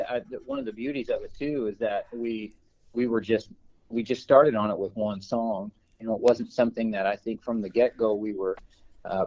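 A man's voice: fairly low pitch, a little mellow, dull audio. A man, a guest musician, answering interview questions in a reflective, hesitant way.

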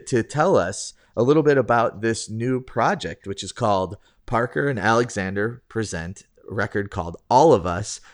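A man hosting a podcast, chatting casually and rambling with restarts and self-deprecating asides. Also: on the low side. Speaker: podcast host. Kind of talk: casual interview chat.

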